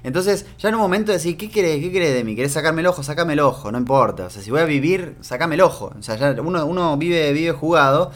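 A man's voice: on the high side, quick pace, hardly any pauses. Argentine man telling a funny story. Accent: Argentine Spanish. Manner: animated, humorous storytelling with frequent restarts. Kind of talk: casual storytelling monologue.

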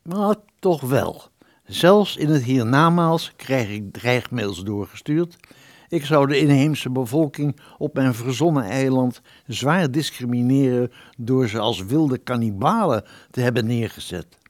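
A measured, earnest ghost of a long-dead writer, acted by a man for a radio play. The voice sits at medium pitch and sounds formally put out, in a very dry recording. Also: lively intonation, no room sound, lightly acted. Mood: wronged, earnest. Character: wronged, formal ghost of an 18th-century English writer.

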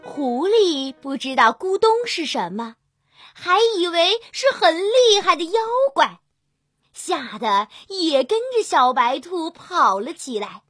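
A woman storyteller narrating a scripted fairy tale for young children in an expressive, drawn-out manner. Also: very high voice, no echo, studio quality. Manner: expressive, drawn-out storytelling with gentle sentence particles. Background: children's music.

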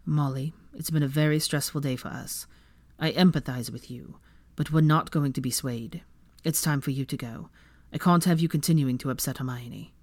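A woman voicing a stern, calm young husband, measured and protective, in an audiobook story. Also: low voice, dry recording. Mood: calm, soothing. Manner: measured, firm.

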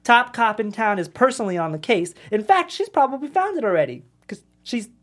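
A woman's voice: on the high side, very animated, brisk. A woman playing a cocky, wisecracking young male slacker in a casual comedy table read. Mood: sarcastic. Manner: casual, teasing, sarcastic.

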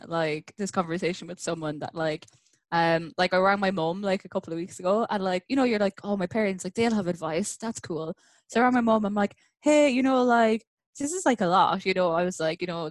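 Chatty Irish woman, low and dry. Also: no echo. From Ireland. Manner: chatty, animated storytelling with lots of "like". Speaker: podcast guest. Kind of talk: casual chat.

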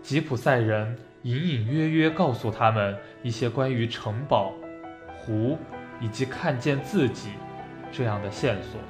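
A man's voice: mid-range voice, unhurried. A man reading scripted narration for a radio programme, with music behind him. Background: instrumental music.

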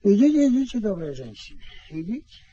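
An actor playing an excitable, boastful peasant turned governor in a radio play, repeating his words and exclaiming with self-satisfaction. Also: high-pitched, fairly quick, husky, muffled recording, full character voice. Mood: kindly, bustling. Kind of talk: mid-century Soviet radio play acting. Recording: old noisy radio drama recording.

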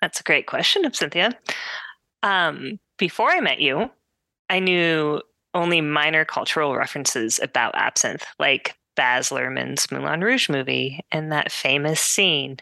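Female podcast co-host, bright crisp-edged tone on a slightly low pitch, informal chat. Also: animated, unhurried, no echo. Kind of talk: casual conversational podcast chat.

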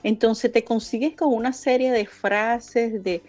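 A woman's voice: on the high side, fairly even intonation, unhurried, darkish tone, faint music behind. A mature Venezuelan woman in her fifties or older, telling her story emphatically as a guest in a conversational interview, often repeating words to build a point. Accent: Venezuelan Spanish. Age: 50s or older. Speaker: interview guest, founder of a women's leadership project.

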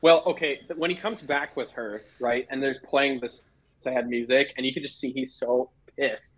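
A man with a husky voice chatting casually on a podcast panel, agreeable and halting as he thinks aloud. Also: medium pitch, fairly even intonation, poor audio. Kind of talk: casual film watch-party chat.

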